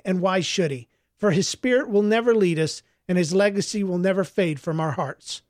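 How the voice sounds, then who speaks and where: A man's voice: high voice, no echo, studio quality. A man reading scripted fiction as a narrator. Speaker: audiobook narrator.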